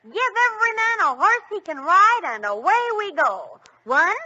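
Cheery young kids show guide, super high and lively, noisy old radio. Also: female voice, moderate pace, muffled recording, exaggerated voice. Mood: chirpy, eager. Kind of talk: old-time children's radio acting.

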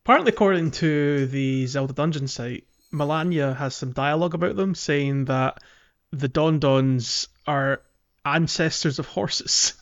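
A man's voice: a little high, unhurried, lo-fi sound. A man co-hosting a casual gaming podcast with a jokey manner. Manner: jokey, laughs often. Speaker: podcast co-host.

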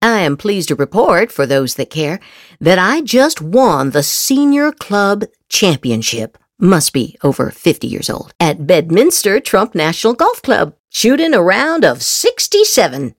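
A woman's voice: lowish voice, very animated, unhurried, no room sound, studio quality. A woman podcast host doing a theatrical dramatic reading of social media posts, with exaggerated, emphatic inflection.

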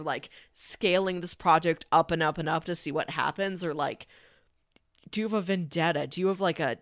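A woman's voice: fairly low pitch, no echo, clean studio sound. A woman co-hosting a film podcast in casual conversation, thinking aloud and posing rhetorical questions.

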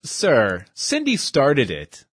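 A man's voice: mid-range voice, huge pitch swings, extremely slow, slightly rough, dry recording, clean studio sound, exaggerated voice. A man voicing a schoolyard bully boy who taunts and mocks others. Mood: taunting.

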